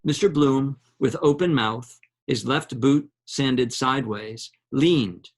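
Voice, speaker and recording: A man reading a literary novel aloud in a measured, dramatic way, with deliberate pauses between short phrases. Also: medium pitch.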